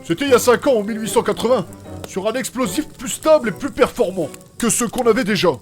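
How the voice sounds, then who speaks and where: An actor playing an eccentric, touchy, pedantic chemist in a comedic audio drama, with blunt, slangy delivery. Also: male voice, sits high, lively intonation, fast talker. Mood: smug. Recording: music behind the voice.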